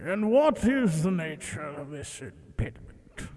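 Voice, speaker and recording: Country clergyman, high-pitched, unhurried, ceremonious, long hall reverb, period audio drama. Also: very animated, crowd noise. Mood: troubled, shocked. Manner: ceremonious, measured, indignant when challenged. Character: solemn, scandalised country clergyman. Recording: echoing church hall.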